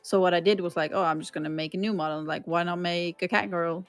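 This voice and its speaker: A Swedish woman chats as a streamer guest in a loose, rambling way, full of fillers and quick giggles. Her voice sits a little low for a woman. Faint music plays behind her. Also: steady tone. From Sweden. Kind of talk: casual interview chat.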